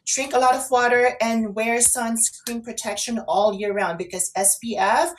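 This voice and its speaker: A woman's voice: on the high side, fairly even intonation, moderate pace, slight background noise, poor audio. A woman co-hosting a casual online talk show, explaining things and giving advice. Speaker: co-host and makeup artist.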